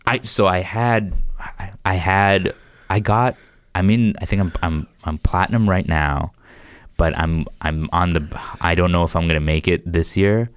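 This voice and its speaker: Low-voiced man chatting casually. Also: unhurried, clear, resonant tone, no echo, studio quality. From United States. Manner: rambling, restarts, frequent "like". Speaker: comedian guest. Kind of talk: casual podcast chat.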